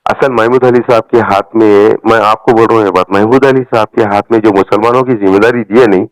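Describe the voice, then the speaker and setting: A man's voice: on the low side, fairly even intonation, fast talker, dry recording. A man with a Hyderabadi accent talking politics in an emphatic, colloquial, repetitive way on a recorded phone call. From Telangana, India. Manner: emphatic, repeats phrases, colloquial. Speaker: party supporter on a phone call. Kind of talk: political rant in casual phone conversation.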